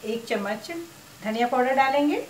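A woman hosting her cooking channel, calmly talking through a recipe step by step and slipping English cooking words into Hindi. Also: on the high side, normal speed, roomy sound, slight background noise, low-quality recording.

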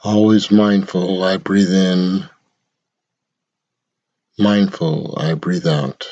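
Slow, low-voiced man guiding meditation. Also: fairly even intonation, a little mellow, dull audio. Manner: measured, instructional, short phrases with long pauses. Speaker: meditation guide. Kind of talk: guided meditation.

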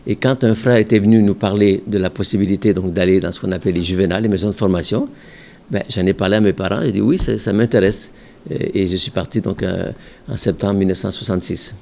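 An older man, a religious brother and school director from Ontario, Canada, calmly recalling his past in a measured, reflective way with some hesitations, seated at his office desk with a microphone in front. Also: sits low, creaky. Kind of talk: calm recollecting interview answers.

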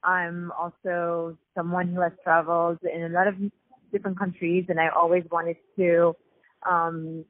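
Low-voiced French woman on a phone line. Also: dry recording. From Paris, France. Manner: measured, explanatory, many fillers. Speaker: festival founder, guest. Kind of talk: phone interview. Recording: tinny phone line, dry.

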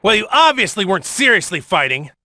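Heroic martial artist, anime game. Husky, high for a man, hugely animated, earnest and determined. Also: fast talker, noisy background. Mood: easygoing. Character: brave, plain-spoken male martial-arts hero, cheerful but determined. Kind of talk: English anime game voice acting.

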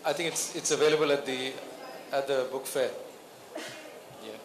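A man moderating a stage panel, soft-spoken as he takes questions and closes the session. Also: medium pitch, fairly even intonation, moderate pace, noisy background. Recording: echoey hall from a distance, crowd.

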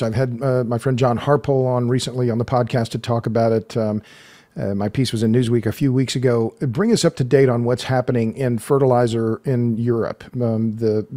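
A man in his sixties hosting a podcast, asking questions in a folksy, halting way with lots of restarts, on a close studio mic at home. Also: medium pitch, warm tone. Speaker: podcast host. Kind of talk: conversational podcast interview. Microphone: large studio mic close up.

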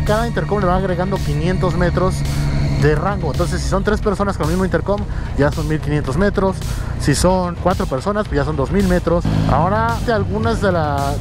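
A man with a noticeably high voice and a Mexico City accent talks viewers through a piece of gear. His delivery is informal and slangy, explaining things step by step like a vlogger. Loud music plays behind him. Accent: Mexico City Spanish. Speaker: motorcycle vlogger reviewing gear. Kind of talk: casual product review to viewers.

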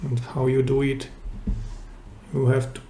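Man with a creaky, mellow voice. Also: medium pitch, completely flat delivery, measured pace, slight background noise. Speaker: DIY repair channel host. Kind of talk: hands-on step-by-step tutorial. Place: indoors at a repair workbench. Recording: roomy, echoey indoor sound.

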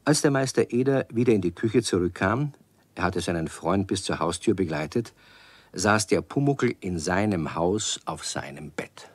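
A man's voice: sits low, expressive, moderate pace. A man narrating a scripted children's story as a storyteller.

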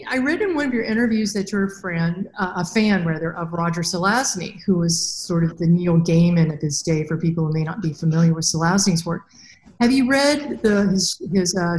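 A woman in her fifties hosting a podcast interview over a video call, asking measured questions in a soft voice. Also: on the low side. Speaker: podcast host. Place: plain room, indoors. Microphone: webcam mic on video call. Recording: roomy video call audio.

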